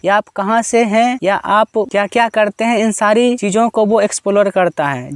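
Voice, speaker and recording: High, clear-voiced Indian man teaching English. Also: no room sound. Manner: slow, drawn-out model sentences repeated twice for practice. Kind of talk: Hindi-language spoken English lesson.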